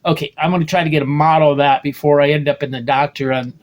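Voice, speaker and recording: A man's voice: fairly high pitch, steady tone, quick pace, dull audio. A man in his late sixties from the United States, talking through a rambling personal video monologue, often restarting sentences and checking in with "okay?". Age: 60s. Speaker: video blogger.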